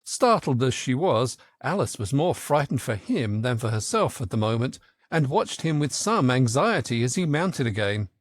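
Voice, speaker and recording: A man narrating a children's story in an expressive, theatrical audiobook style. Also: mid-range voice, moderate pace, few pauses, no room sound.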